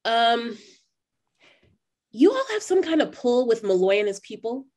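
A woman's voice: a little high, sing-song, a little slow, a little mellow. A woman playing a guarded, direct and skeptical young job seeker in an online play reading. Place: indoors on a video call. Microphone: video call microphone.

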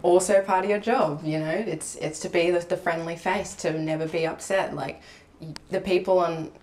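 Relaxed young woman, chatty podcast guest. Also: lowish voice, moderate pace. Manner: laughs easily, relaxed and chatty. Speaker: guest, film crew camera assistant. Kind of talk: casual podcast conversation. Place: home room with warm lamp, indoors. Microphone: large podcast mic on boom arm.

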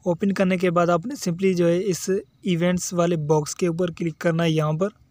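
Male tutorial host, high register, dry recording, instructional step-by-step delivery. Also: flat delivery, normal speed, darkish tone, no room sound. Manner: instructional, repetitive verbal tags. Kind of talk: step-by-step mobile game tutorial voice-over.

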